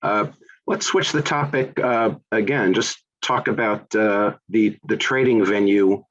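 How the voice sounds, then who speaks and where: A man's voice: lowish voice, a little slow, a bit bright, highs cut off. A man from the United States hosting a business interview, asking questions in a hesitant, conversational way with many repeated words. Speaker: interviewer, podcast host.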